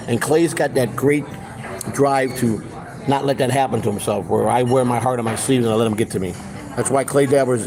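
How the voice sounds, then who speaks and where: A man's voice: mid-range voice, low-quality recording. A man in his fifties hosting a casual, rambling live stream chat, often repeating himself for emphasis. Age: 50s.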